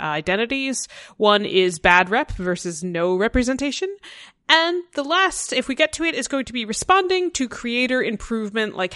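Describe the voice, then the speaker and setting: A woman's voice: mid-range voice, fairly bright tone, smooth, no echo. Chatty woman podcast host, dry sound. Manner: chatty, laughs often, frank asides. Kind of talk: casual podcast chat.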